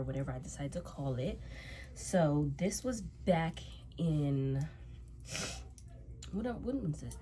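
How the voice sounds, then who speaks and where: A woman's voice: sits low, background noise. A young woman in her twenties with a stuffy nose from a cold, chatting loosely as she tells a story while doing her makeup. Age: 20s. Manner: rambling, repeats herself, loose slangy talk. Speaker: vlogger. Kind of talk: casual storytime chat.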